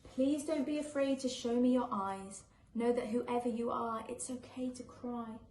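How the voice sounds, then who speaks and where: A woman's voice: fairly high pitch, fairly even intonation, unhurried, a little mellow, distant mic, natural voice. A woman voicing a caring, loyal young friend in a children's storybook, earnest and reassuring in rhyme. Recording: echoey room with faint background noise.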